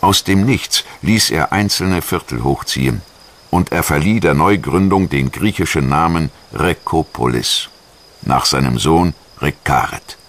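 A man reading a scripted history documentary narration in a measured, articulate storytelling manner. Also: extremely low pitch, lively intonation, fairly bright tone. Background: music.

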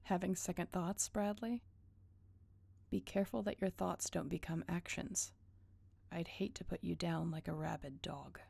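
Casting: actress for cool, controlled female second-in-command, lowish voice with fry, audio roleplay. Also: fairly even intonation, unhurried, a little mellow, dry recording, exaggerated voice. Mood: cool, firm. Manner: controlled, curt, businesslike. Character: cool dutiful female enforcer of a werewolf alpha. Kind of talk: audio roleplay drama acting.

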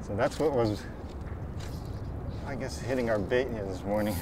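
A middle-aged man, a fly fisherman, talking in an unhurried, thoughtful way with restarts, on a lapel mic outdoors in shallow water. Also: fairly low pitch. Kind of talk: casual talk about fishing. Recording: outdoor background noise.

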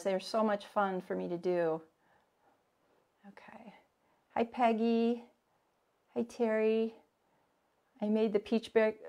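Woman in her forties, gravelly voice, chatty live cooking teacher, home kitchen, camera across the counter. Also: mid-range voice. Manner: chatty, greets viewers by name, thinks aloud. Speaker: cooking instructor.

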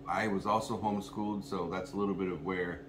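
A man from Minnesota with a very low voice. He tells his story haltingly, full of ums and restarts. The echoey kitchen carries a clear noise bed. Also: steady tone. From Minnesota, USA. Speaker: co-host, massage therapist. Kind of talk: casual personal story to camera. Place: home kitchen, indoors, small room. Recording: echoey room with background noise.

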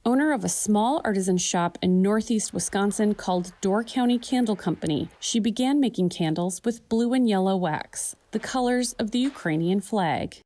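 A woman reporter reading a scripted news voice-over in a steady, clear manner. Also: medium pitch, moderate pace, hardly any pauses.

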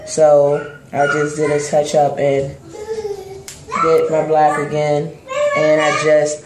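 A young woman with a cold and a hoarse voice, casually talking through her hair routine with many pauses, into a close-up phone camera in a small bathroom. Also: deep voice. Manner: relaxed, hesitant, many ums while working on her hair. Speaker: hair vlogger. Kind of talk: casual hair tutorial talk. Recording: echoey bathroom with background noise.

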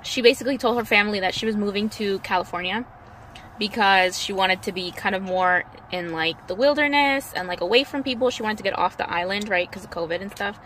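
A woman's voice: medium pitch, fairly quick, fairly bright tone, rough recording. A young woman in her twenties chatting in a car vlog, telling a story in a loose, rambling way with lots of fillers. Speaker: vlogger. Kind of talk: casual chat while riding in a car. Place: inside a convertible car outdoors. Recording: faint car and road noise.

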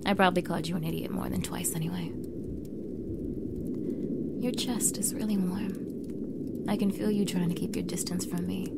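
A young woman acting a standoffish, awkward mean girl who is softening, in an intimate ASMR roleplay, halting and flustered, with soft breathy delivery. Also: fairly low pitch, heavy background noise. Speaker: audio roleplay voice actor.

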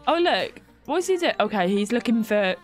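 A young woman streaming a life-sim game from home, chatting warmly with her viewers while stuffed up with a cold. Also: a little high, sing-song, moderate pace, soft background music. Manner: chatty, affectionate toward chat, giggly. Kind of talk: live game stream chat.

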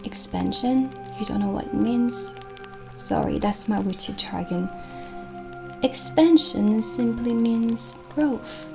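Slow, soothing witch shopkeeper. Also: fairly high pitch, gravelly. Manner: soft, soothing, unhurried. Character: gentle, reassuring witch shopkeeper selling elixirs and enchantments. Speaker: ASMR roleplay performer. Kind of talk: soft-spoken ASMR roleplay. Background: soft music.

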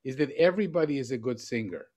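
A man's voice: mid-range voice, expressive, fast talker, darkish tone. A middle-aged man hosting a show over a video call from a room with bookshelves, teasing and encouraging his guest. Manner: teasing and encouraging, gestures while speaking. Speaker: host. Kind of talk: casual video call interview. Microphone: video call webcam.

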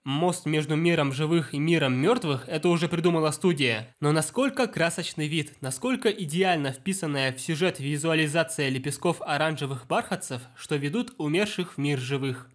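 A man giving scripted voice-over commentary on an animated film, explaining scenes with enthusiasm. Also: fairly high pitch, normal speed, few pauses. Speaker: film review blogger.

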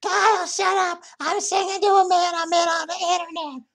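A woman putting on a brash, crude fifty-seven-year-old smoker who loves karaoke, chatting loudly and giggling on a voice call. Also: very high voice, flat delivery, moderate pace, vocal fry, full character voice. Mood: scolding. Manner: loud, bawdy, giggly, talks over others. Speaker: scam baiter. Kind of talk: prank voice chat with a scammer.

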